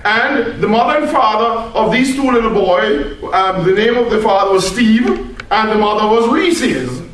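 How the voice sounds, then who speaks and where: A woman's voice: on the high side, animated, measured pace, a little hiss or hum. A woman telling a comic tall tale live to an audience in a theatrical, mock-earnest manner, in a room. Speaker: storyteller at a speech club. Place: echoey indoor room, speaking to an audience. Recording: very echoey room, distant microphone.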